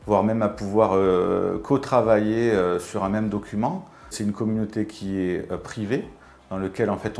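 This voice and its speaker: A man's voice: on the low side, darkish tone. A man explaining his work in a conversational, unscripted interview. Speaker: community manager of an online professional network. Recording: roomy echo, music underneath.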